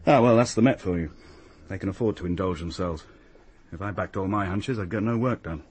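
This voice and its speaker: A man with a British accent playing a pragmatic, world-weary police detective in a radio drama, dry, offhand and husky. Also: low voice, a little fast, darkish tone, slight background noise, natural voice.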